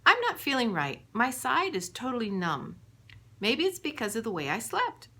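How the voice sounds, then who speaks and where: A woman narrator plays a calm, unworried working wife in an audiobook. Her pitch is raised, and she speaks with mild puzzlement, as if to her husband. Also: moderate pace, full character voice. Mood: calm, puzzled. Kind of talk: audiobook character dialogue.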